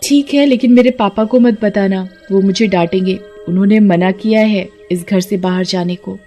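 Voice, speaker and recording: A woman's voice: medium pitch, moderate pace, mellow, faint music behind, lightly acted. A woman voicing a lonely, sweet little rich girl in a cartoon, with a plaintive, childlike manner. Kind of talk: Hindi cartoon voice acting.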